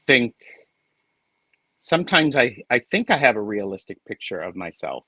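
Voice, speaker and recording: A man's voice: mid-range voice, sing-song, moderate pace, sharp tone, husky, no echo. A man joining a live tarot stream as a guest reader, talking in a hesitant, reflective way with lots of ums. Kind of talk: casual live-stream chat.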